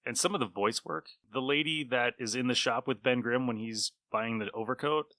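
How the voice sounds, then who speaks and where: A man's voice: medium pitch, moderate pace, bright, forceful tone, no echo. A man hosting a podcast in a casual, conversational style. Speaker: podcast host. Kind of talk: casual chat.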